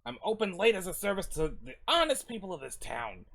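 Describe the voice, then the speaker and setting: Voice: medium pitch, very animated, fairly quick, a bit bright, voice pushed up. Performer putting on a curt, grumbling night shopkeeper. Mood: irritated, grudging. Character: gruff, suspicious night shopkeeper, grudging and weary.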